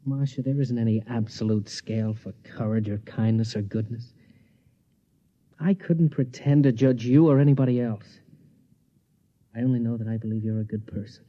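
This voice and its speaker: An actor playing a devoted, reassuring space pilot husband in a gentle, earnest 1950s radio drama style. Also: male voice, medium pitch, fairly even intonation, normal speed, natural voice. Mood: reassuring, tender. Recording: muffled 1950s radio recording.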